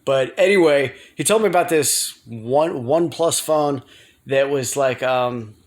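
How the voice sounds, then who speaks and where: An American man rambling casually to viewers in a vlog, halting often, repeating himself and circling back. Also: medium pitch. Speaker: video blogger.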